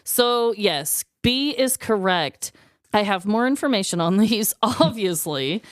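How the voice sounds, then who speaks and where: A woman co-hosting a podcast with casual comic storytelling and banter, animated and sweary, acting out dialogue. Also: medium pitch, slow, dry recording, clean studio sound. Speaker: podcast co-host.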